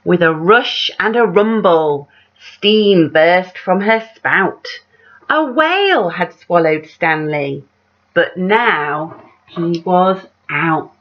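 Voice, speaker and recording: A woman reading a children's picture book aloud like a teacher, dramatic and measured, putting questions to her young listeners. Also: lowish voice, expressive. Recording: dull, lo-fi sound.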